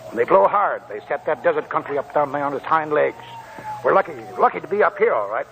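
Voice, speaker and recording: An actor playing a wily, scornful old American gold prospector in a 1940s radio drama, with a raspy, creaky voice and folksy rambling talk. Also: male voice, a little high, animated, quick pace, dull audio, slight background noise. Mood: teasing. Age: older.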